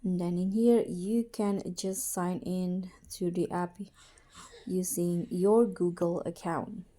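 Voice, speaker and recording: A woman narrating a casual how-to tutorial as its host, in a halting way with repeated and corrected words. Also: lowish voice, steady tone, mellow.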